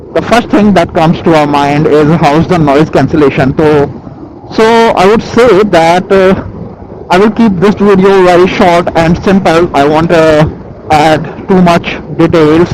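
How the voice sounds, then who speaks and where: Higher-voiced Indian man on a helmet mic. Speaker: motovlogger reviewing gear. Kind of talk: casual product review to camera. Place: outdoors, riding a motorcycle. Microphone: Bluetooth helmet intercom microphone. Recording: helmet intercom mic with vehicle noise.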